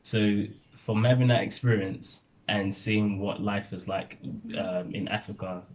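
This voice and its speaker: A young man from Nigeria telling stories in relaxed casual chat, laughing often as a vlogger. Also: fairly low pitch, unhurried, low-quality recording.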